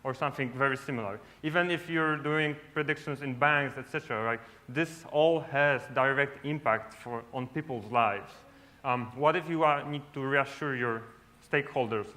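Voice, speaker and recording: A man's voice: mid-range voice, sharp tone. A man from Poland giving a casual conference talk on stage, often tagging sentences with "right?". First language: Polish. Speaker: conference speaker. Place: large lit stage.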